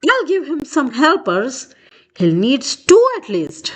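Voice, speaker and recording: A woman reading a children's picture book aloud in an expressive storytelling style. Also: sits high, takes it slowly.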